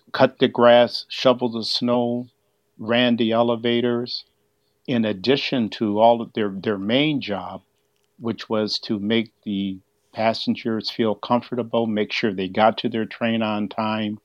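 A man's voice: fairly low pitch, dry recording. An older man explaining history as an interview guest, heard over a dull, band-limited line. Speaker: museum exhibit curator, interview guest.

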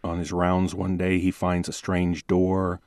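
Male podcast guest, low register, articulate and measured, close dry sound. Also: completely flat delivery, a little mellow, no room sound. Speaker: podcast host and producer, voice actor. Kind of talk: relaxed interview conversation.

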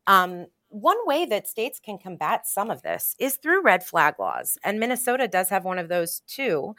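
A woman speaking as a journalist guest in an interview, giving measured, analytical explanations. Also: mid-range voice, sing-song, a bit bright, dry recording, studio quality. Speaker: interview guest, journalist covering gun violence. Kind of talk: explanatory radio interview answers.

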